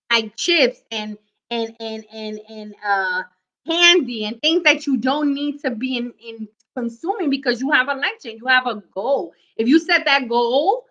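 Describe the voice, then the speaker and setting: A high, bright and forceful woman's voice talks straight to her viewers. She is loud and emphatic, giving motivational tips in a casual way and pausing with "okay?" after each point. The recording sounds dull and lo-fi. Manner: emphatic, keeps asking "okay?". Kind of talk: casual motivational talk to camera.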